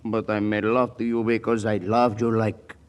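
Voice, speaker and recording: An actor playing an ardent, charming, flustered suitor in a 1940s radio comedy, with a Spanish accent and effusive broken English. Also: male voice, lowish voice, flat delivery, normal speed, close to an everyday voice. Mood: adoring. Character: ardent young Latin suitor, charming penniless man, flustered.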